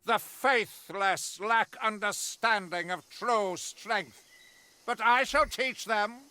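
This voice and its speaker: An actor playing a zealous, righteous witch-hunting warrior priest in a video game, pompous and grandiloquent, pausing deliberately for effect. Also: high-pitched, sharp tone, heavily acted. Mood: menacing relish. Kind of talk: theatrical video game mission briefing.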